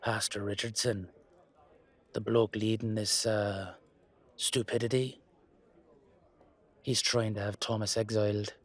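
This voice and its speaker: A man's voice: lowish voice, flat delivery, measured pace, long pauses. A man voicing a level-headed leprechaun peacemaker with matey, colloquial speech in an audio roleplay. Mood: explaining.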